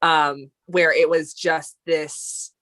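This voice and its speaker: A woman's voice: low-pitched, little pitch movement, extremely slow, fairly bright tone. A woman co-hosting a live stream, chatting casually and rambling, with many fillers and restarts. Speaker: co-host of a dance talk stream.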